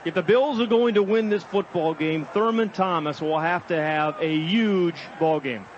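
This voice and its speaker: American man, radio football analyst, high register, dull lo-fi sound with clear noise behind. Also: moderate pace. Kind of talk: live football commentary and analysis. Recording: dull, noisy stadium radio broadcast. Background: stadium noise.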